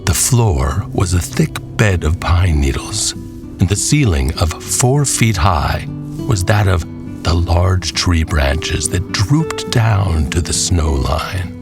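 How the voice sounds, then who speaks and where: Very deep, slow-speaking male storyteller. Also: expressive, creaky, music in the background. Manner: folksy, deliberate storyteller. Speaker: narrator. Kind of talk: scripted dramatic audio storytelling.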